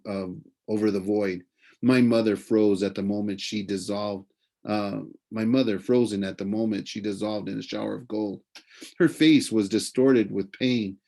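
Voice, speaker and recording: Expressive man reading a novel aloud. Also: on the low side. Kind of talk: audiobook-style narration of a children's novel.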